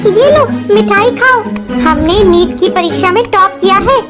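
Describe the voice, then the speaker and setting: A woman acts out a young cartoon bird daughter with a very high, girlish voice. She is earnest and headstrong, pleading her case. Music plays behind her. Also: expressive, a little slow. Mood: excited, pleading. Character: determined young female bird, dreaming daughter. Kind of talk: children's cartoon voice acting.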